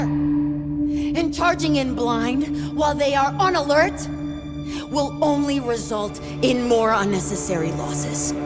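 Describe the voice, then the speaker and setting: An actress playing a stern, cold clan commander in a video game cutscene, imperious and cutting. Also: female voice, high-pitched, exaggerated voice. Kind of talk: dramatic game cutscene acting. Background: loud dramatic music.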